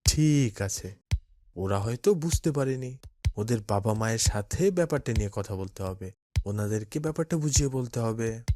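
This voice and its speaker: A man playing a calm patient cartoon father. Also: mid-range voice, normal speed, soft background music, natural voice. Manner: calm, gently instructive. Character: kind patient father, middle-aged man.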